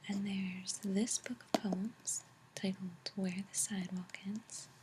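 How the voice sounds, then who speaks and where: A woman speaking softly in a breathy near-whisper, gently and unhurriedly, as an ASMR host reading and chatting. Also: mid-range voice, little pitch movement, slow, background noise. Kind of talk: soft-spoken ASMR reading and chat. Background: page turning, tapping, clicks.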